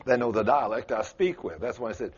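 A man's voice: lively intonation, fairly quick, slightly rough, lo-fi sound. A man with a South Texas accent preaching a sermon in a folksy, emphatic way. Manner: folksy, emphatic, swings pitch up for stress.

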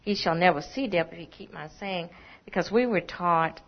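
A woman's voice: on the low side, moderate pace. A woman in the audience politely asking the preacher a question, a little hesitant. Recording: dull, muffled, from far off the mic.

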